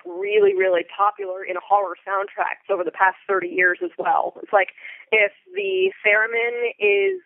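A woman's voice: mid-range voice, no room sound, narrow, tinny audio. A woman giving enthusiastic, articulate interview answers in a conversational way, thinking aloud as she goes. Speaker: theatre company member and Foley artist guest.

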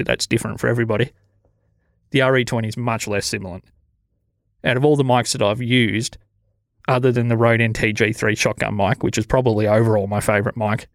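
An Australian man hosting a podcast in relaxed, low-energy casual chat, with a sibilant voice on a studio mic. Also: dry recording. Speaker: podcast host. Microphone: studio dynamic mic.

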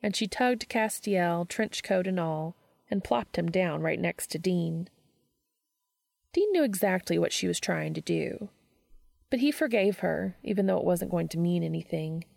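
Low, creaky-voiced woman narrator. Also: lively intonation, a little slow, darkish tone, no room sound, clean studio sound. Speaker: podfic narrator and host. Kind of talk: scripted fiction narration.

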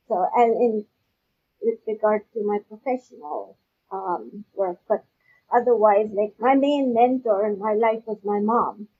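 A woman from Pakistan with a husky voice, answering interview questions conversationally in a halting way, repeating words as she goes. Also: mid-range voice. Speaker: guest, agricultural research scientist. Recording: dull, lo-fi audio.

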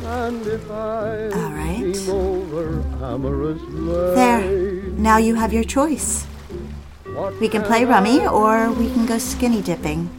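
Adoring, teasing young wife, music behind. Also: female voice, fairly high pitch, slow, long pauses. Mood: coy, playful. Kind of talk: romantic audio drama dialogue and first-person narration.

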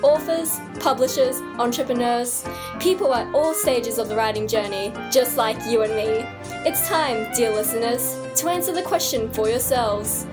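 A woman announcer reads a scripted promo intro in an upbeat, inviting voice, pitched fairly high. Instrumental music plays clearly behind her. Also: normal speed. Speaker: show announcer.

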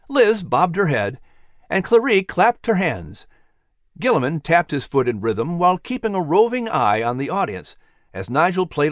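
A man narrating a story in an animated, dramatic, expressive style. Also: mid-range voice, no echo, studio quality. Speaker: audiobook narrator.

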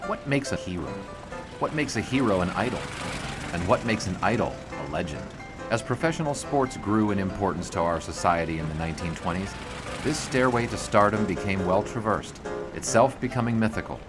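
An earnest 1920s American newspaperman acted by a man, with a fairly low voice. He speaks politely and formally, in the measured manner of an audio-drama narrator, with music playing underneath. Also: expressive, close to an everyday voice. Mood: reflective, grand. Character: earnest, polite 1920s male sports writer narrating his memoirs.

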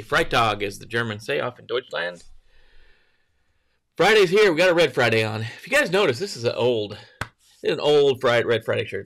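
Gravelly-voiced man hosting a morning stream. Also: mid-range voice, fairly bright tone. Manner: rambling, greets viewers by name, self-correcting. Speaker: livestream host. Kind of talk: casual morning livestream chat.

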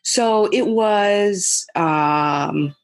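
A woman's voice: medium pitch, very slow. A woman in her thirties from the United States, answering interview questions thoughtfully, often restarting and saying "you know". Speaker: podcast guest.

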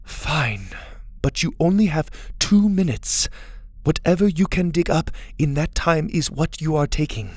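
Man voicing a stern, impatient higher-pitched woman leader. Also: animated, unhurried, dry recording. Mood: reluctant, stern. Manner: firm, snappish, formal wording without contractions. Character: stern, practical, impatient female team leader with spear.

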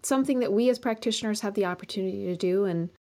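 A woman's voice: mid-range voice, racing, a little mellow. A woman acupuncturist who practices in Colorado, a guest speaking earnestly in long run-on stretches in a casual professional conversation.

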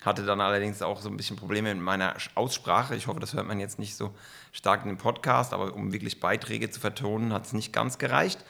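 A man's voice: fairly low pitch, fairly even intonation, brisk, vocal fry, sharp tone. A middle-aged man from Germany, a football club executive, answering podcast questions with enthusiasm and frequent fillers.

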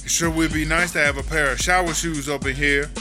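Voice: medium pitch, fairly even intonation, brisk, over music, lightly acted. An actor playing a brash, streetwise female prison inmate with slangy, emphatic talk in a comedy audio drama. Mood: brash, bargaining.

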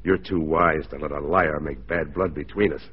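An actor playing a stern, dry-witted Mounted Police constable, firm and measured, in 1940s radio style. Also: male voice, extremely low pitch, fairly even intonation, slightly rough. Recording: dull 1940s radio recording.

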